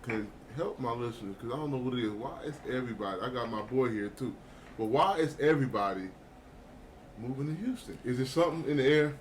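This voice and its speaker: A man from Oakland hosts a casual podcast, talking quickly in relaxed slang. His pitch sits in the middle range. A faint hiss sits under his voice. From Oakland, California. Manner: fast, slangy, easygoing. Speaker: podcast host.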